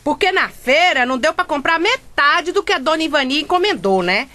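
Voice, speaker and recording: An actress with a Brazilian accent playing an outspoken, grumbling housemaid in a comedic radio drama, folksy and blunt. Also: female voice, sits high, animated, a little fast, pushed and bright. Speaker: actor.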